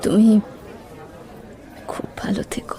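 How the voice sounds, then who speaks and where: Nostalgic middle-aged sweetheart for audio romance, female actor: soft, warm tone, very leisurely, reflective. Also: slow pace, whispered, a faint crowd, close to an everyday voice. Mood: quiet regret. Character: gentle, nostalgic middle-aged woman meeting her old love. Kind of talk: Bengali romantic audio drama acting.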